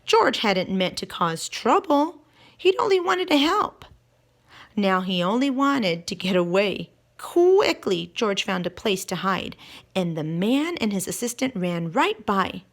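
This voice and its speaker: A woman reading a picture book aloud to children in an expressive way, drawing out key words and putting questions to her listeners. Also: medium pitch, measured pace.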